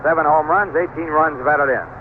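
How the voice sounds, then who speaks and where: A man's voice: fairly high pitch, fairly even intonation, normal speed. A man with an American accent calling baseball play-by-play in the style of 1970s radio. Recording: noisy, tinny old AM radio broadcast.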